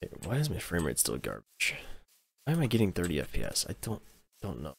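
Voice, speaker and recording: A man's voice: deep voice, huge pitch swings, brisk, vocal fry, husky. A man with an American accent rambling casually to his viewers while livestreaming a game, often restarting and correcting himself. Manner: rambling, self-interrupting, chatting with viewers. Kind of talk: casual gaming livestream chat.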